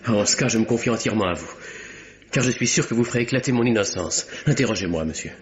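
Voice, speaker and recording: A man's voice: fairly low pitch, lively intonation, quick pace, lightly acted. An actor playing a polite, earnest bank cashier under accusation in a classic French radio drama, speaking formally in his own defence. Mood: earnest, pleading. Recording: dull, lo-fi old radio recording.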